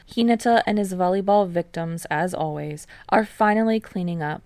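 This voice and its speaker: Woman narrator for fiction, unhurried pace, gravelly creak, slightly low, dry close sound. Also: a little mellow, no room sound. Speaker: fan fiction reader. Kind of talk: scripted narration of a story, audiobook-like.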